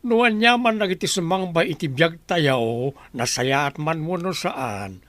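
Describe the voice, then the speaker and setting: Pious, animated, high-voiced moralizer. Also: male voice, measured pace, dry recording. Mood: reproving. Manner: moralizing, questioning. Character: pious, reproving man preaching humility and God's plan. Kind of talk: Ilocano radio drama acting.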